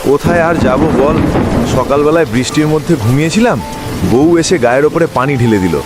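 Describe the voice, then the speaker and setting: A man's voice: on the high side, brisk, over loud music, close to an everyday voice. A man voicing a lazy, whiny, grumbling village husband in a cartoon. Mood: chummy. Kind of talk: cartoon voice acting.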